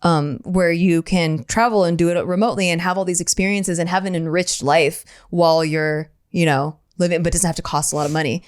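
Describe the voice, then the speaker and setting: A woman's voice: fairly low pitch, fairly even intonation, brisk, few pauses, smooth. A woman co-hosting a podcast, giving advice in a casual, conversational way. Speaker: podcast co-host.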